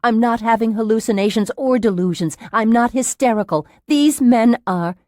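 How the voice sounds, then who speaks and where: A woman reading an audiobook as a frightened, defiant, angry woman patient, speaking in forceful, emphatic outbursts. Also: a little high, moderate pace, no echo, studio quality, voice pushed up, natural voice. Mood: angry, frustrated. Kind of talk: dramatised audiobook narration.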